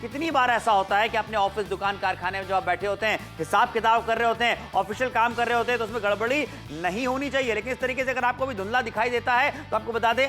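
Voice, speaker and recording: A man presenting on a home shopping channel, in a rapid, persuasive sales patter. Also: high-pitched, pushed and bright. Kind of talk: live TV sales pitch. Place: TV shopping studio.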